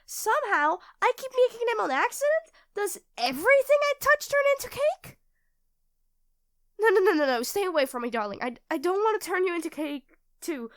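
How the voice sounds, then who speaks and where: A very high, dry-recorded young girlfriend acted by a woman in an audio roleplay. She is sweet and tender with her partner, expressive in delivery and easily thrown into a fluster. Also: normal speed, no echo. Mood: shaken, confused. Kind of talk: ASMR roleplay acting addressed to the listener.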